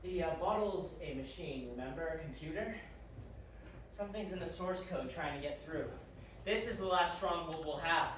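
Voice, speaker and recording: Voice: medium pitch, normal speed, a bit bright, lightly acted. An actor playing a cryptic, hesitant agent explaining an uncanny mission in an audio drama. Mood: explanatory. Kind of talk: audio drama acting, dialogue. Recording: very echoey, distant, faint noise.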